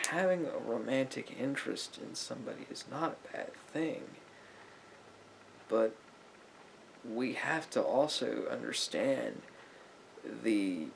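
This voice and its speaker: A man playing a calm, patient therapist in a roleplay, gently questioning a client in a measured, hesitant way with long pauses. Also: medium pitch, vocal fry, a little hiss or hum, poor audio. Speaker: ASMR roleplay performer. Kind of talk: therapist roleplay ASMR, addressing the listener.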